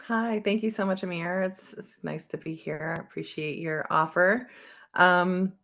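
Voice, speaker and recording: A woman in her forties who grew up in Belgium, speaking as a podcast guest. Her voice carries a gravelly, fry-edged texture. She talks reflectively, circling back with ums and restarts as she tells her story. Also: fairly low pitch, fairly even intonation, unhurried, fairly bright tone. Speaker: wellness coach, interview guest. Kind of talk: conversational podcast interview.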